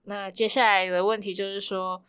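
A woman's voice: medium pitch, level tone, normal speed, a bit bright, clean tone. A woman, hosting a podcast interview in a casual conversational style.

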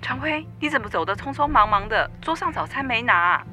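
An actress playing a worried, caring wife in a radio drama. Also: female voice, a little high, expressive, fairly quick, bright, forceful tone, soft background music. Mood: anxious.

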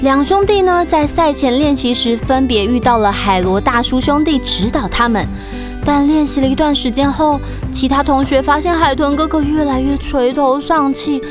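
A woman's voice: high voice, normal speed. A woman host giving a scripted, storyteller-style introduction for a children's audio story. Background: children's music.

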